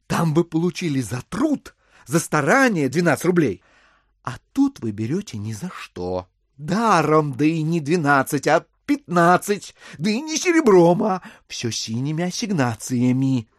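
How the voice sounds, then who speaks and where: Audio drama casting: a persuasive, exasperated gentleman buyer, theatrical and emphatic, very animated intonation, dry studio sound. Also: fairly high pitch, measured pace, dry recording. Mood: insistent persuasion. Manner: insistent, cajoling, emphatic.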